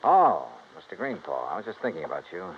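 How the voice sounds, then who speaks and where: An actor playing a wry, polite American insurance investigator in a 1950s radio detective drama. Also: male voice, low voice, wildly swooping pitch, quick pace, full character voice. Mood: probing. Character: wry, gentlemanly freelance insurance investigator hero. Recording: muffled, hissy vintage radio recording.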